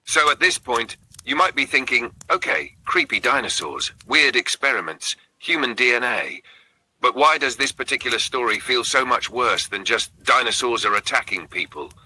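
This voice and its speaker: Male horror narrator: raspy, very forceful tone, suspenseful delivery in short, dramatic phrases. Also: mid-range voice, poor audio. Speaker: narrator of a horror storytelling channel. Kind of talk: scripted narration, spooky campfire storytelling.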